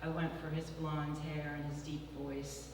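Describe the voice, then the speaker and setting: Soft-voiced woman author, casual and hesitant intros, far from the mic in a long-reverb hall. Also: low-pitched, flat delivery, measured pace. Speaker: author reading her fiction. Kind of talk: live literary reading. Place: large former church hall. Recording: distant mic in a very echoey hall, hum of noise.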